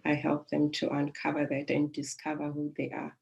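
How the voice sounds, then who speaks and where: Calm South African woman, low gravelly voice. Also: dull audio. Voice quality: calm, soothing voice. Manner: reflective, unhurried, with soft laughs. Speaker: life coach. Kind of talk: personal storytelling.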